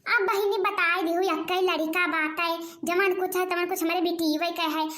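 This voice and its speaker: A performer voicing an excitable, gossipy, argumentative village woman in a comic Awadhi cartoon, with a rustic Awadhi accent. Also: extremely high pitch, normal speed. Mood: boastful, insistent. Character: excitable rural Awadhi village women haggling over a wedding match.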